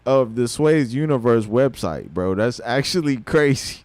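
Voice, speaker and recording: A man in his thirties livestreaming, a rapper talking casually and swearing freely, mixing thoughtful musing with loud excitement. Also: medium pitch, measured pace, background noise. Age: 30s. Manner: reflective, then loud and excited with laughter and swearing.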